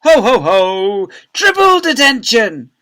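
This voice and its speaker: A man's voice: extremely high pitch, sing-song, slow pace, sharp tone, pitched above the performer's own voice, heavily acted. A man voicing a furious, power-mad woman deputy head from a children's story, bellowing and ranting.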